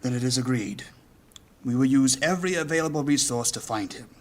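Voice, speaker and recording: Commanding male mage guild leader actor, medium pitch. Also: close to an everyday voice. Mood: resolute. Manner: authoritative, formal, deliberate. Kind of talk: fantasy audio drama acting.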